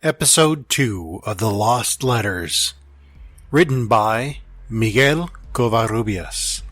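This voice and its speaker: A man's voice: fairly low pitch, animated, takes it slowly, a bit bright, dry recording. A man reading scripted episode credits as an announcer.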